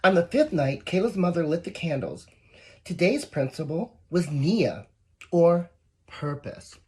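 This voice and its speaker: A woman reading a picture book aloud to young children, expressive and encouraging, with asides to the listeners. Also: fairly low pitch, unhurried, slightly rough. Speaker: volunteer story reader.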